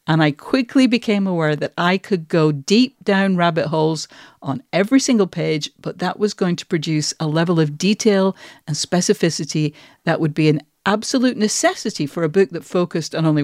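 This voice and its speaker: A woman's voice: fairly low pitch, darkish tone, dry recording. A woman speaks as a podcast host in a conversational, reflective way. Her phrasing is articulate and considered. The recording is dry, with very little room sound. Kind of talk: conversational discussion of writing process.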